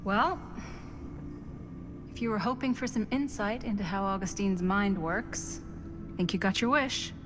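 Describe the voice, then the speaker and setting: A woman's voice: mid-range voice, unhurried, pauses often, music in the background, natural voice. A woman playing a practical, skeptical investigator in a video game, speaking matter-of-factly. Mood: wary, dry.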